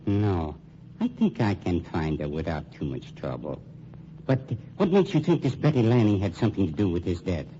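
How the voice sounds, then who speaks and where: An actor playing a suave, menacing funeral director turned sleuth, with an American accent and formal, pompous, insinuating speech in old-time radio drama style. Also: male voice, deep voice, big pitch swings, moderate pace, warm tone. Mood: probing. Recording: dull, noisy old radio recording.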